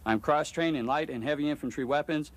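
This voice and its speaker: Fast-talking male army sergeant. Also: a little high. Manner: formal, recited. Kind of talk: formal scripted self-introduction.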